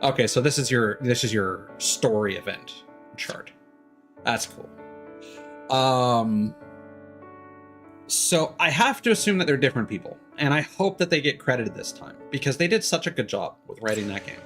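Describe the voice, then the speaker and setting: Man, mid-range voice, game streamer, casual live commentary, halting and rambling, game music in background. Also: medium pitch, lively intonation, a bit bright. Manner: rambling, halting, thinking aloud. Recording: music behind the voice.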